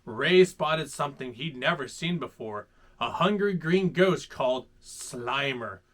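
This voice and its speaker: Man, storybook read-aloud host, high pitch, bright tone, playful, dramatic. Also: expressive, measured pace. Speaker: host reading children's stories.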